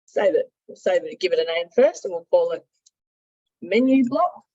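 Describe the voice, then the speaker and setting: Husky woman giving a software tutorial. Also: fairly low pitch, expressive, moderate pace. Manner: conversational, thinking aloud, instructive. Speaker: software trainer, marketing and sales director. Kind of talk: informal software tutorial with screen demonstration. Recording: dull, muffled lo-fi audio.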